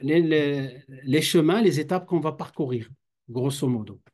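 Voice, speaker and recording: A man's voice: on the high side, unhurried, darkish tone. Man teaching a class. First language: Arabic. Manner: hesitant, many fillers and restarts, mixes Arabic phrases. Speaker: teacher at an Islamic institute. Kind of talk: classroom lecture. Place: classroom with students present.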